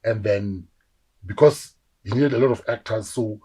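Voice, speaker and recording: A South African man in his forties, an actor telling animated stories in a casual interview and acting out the voices of people he quotes. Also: fairly low pitch, moderate pace, husky, rough recording. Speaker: actor guest.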